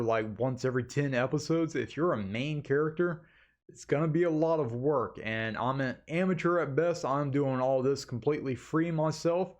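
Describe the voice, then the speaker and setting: Casual, folksy man explaining things to viewers. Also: medium pitch. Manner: folksy, conversational, addresses listeners as guys. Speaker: amateur animation creator hosting an update video.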